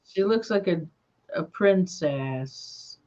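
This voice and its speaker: A woman hosting a live paranormal investigation stream, talking in a calm, soothing way. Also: on the low side. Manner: slow, calm, soothing delivery. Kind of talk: live-stream ghost hunt chat. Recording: dull lo-fi stream audio.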